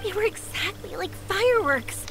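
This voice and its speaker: A woman playing a cheerful, warm-hearted young heroine in an anime video game. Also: very high voice, lively intonation, normal speed, noisy background. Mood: delighted.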